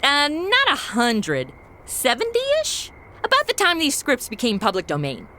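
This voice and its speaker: A woman's voice: high-pitched, wildly swooping pitch, a little slow, pushed and bright, slight background noise, heavily acted. An actress playing a breezy, irreverent modern woman with a flippant, slangy manner in a comedy audio drama.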